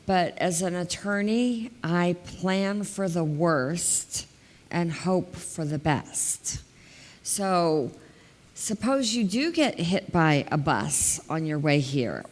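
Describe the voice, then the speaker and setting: Woman, 50s, elder law attorney, big slow voice, lower pitch, long hall reverb on a headset mic. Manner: plain-spoken, explains step by step with examples. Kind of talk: community talk. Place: large hall, indoors.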